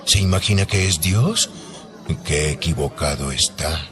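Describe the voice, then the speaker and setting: A man's voice: extremely low pitch, measured pace. A man playing a scornful religious law teacher in a dramatized audio Bible, indignant and sneering. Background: music and crowd.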